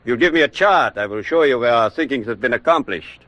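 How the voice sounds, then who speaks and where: Man playing smug German U-boat officer, lively pitch. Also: close to an everyday voice. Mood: boastful. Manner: smug, evasive, halting word choice. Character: defiant captured Nazi U-boat officer, boastful and evasive. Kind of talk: 1940s radio acting.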